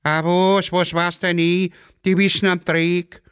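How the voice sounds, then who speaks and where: A man's voice: high-pitched, flat delivery, a little slow, a bit bright, smooth, no room sound, studio quality, voice pushed up. A man voicing a muddled, evasive Viennese railway porter who grumbles long-winded excuses in dialect. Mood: shrugging.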